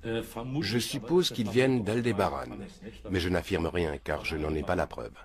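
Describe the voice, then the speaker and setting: A man's voice: low-pitched, unhurried, crowd noise. A man answering interview questions in a matter-of-fact, assured way.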